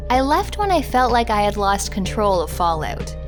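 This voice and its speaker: A woman's voice: medium pitch, sing-song, normal speed, over music. A woman reading out first-person quotes as scripted narration for a documentary. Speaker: reader of quotes.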